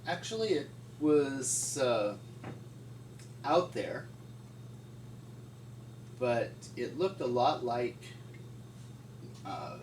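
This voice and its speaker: A middle-aged man reminiscing informally as an interviewee, in a halting, folksy way. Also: mid-range voice, expressive. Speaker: paleontologist interviewee. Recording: distant mic, echoey room, loud noise.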